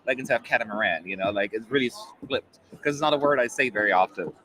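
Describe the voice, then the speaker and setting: A man's voice: mid-range voice, brisk, sharp tone, a little hiss or hum, low-quality recording. A man in his forties, German-born and living in America, with a slight German accent under mostly American speech, chatting casually as a live-stream host with many fillers. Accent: German, mostly American-sounding.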